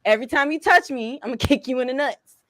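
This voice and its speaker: A woman in her thirties from Chicago, co-hosting a casual podcast chat and telling profane, animated stories. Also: high-pitched, fast talker. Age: 30s. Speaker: podcast co-host.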